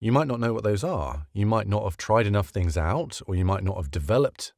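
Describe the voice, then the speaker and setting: Guy with a lowish voice explaining stuff like a coach, chatty, asks questions as he goes, super dry close sound. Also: big pitch swings, fairly quick, a little mellow, no echo. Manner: conversational, rhetorical questions, emphatic repetition. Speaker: voiceover coach giving career advice. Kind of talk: casual explanatory talk to camera.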